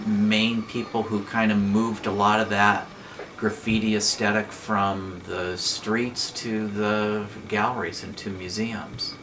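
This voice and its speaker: A man talking thoughtfully in a casual interview, with pauses and fillers. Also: lowish voice, flat delivery, noisy background.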